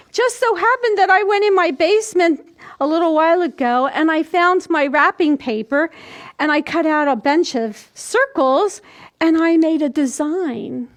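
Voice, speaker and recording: A woman in her sixties hosting a children's storytime, explaining things to young children in simple steps as she demonstrates. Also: high-pitched. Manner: simple, step-by-step, demonstrating while speaking. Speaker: children's library storytime host. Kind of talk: instructional talk to young children. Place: indoors, small plain room. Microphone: camera a few feet away.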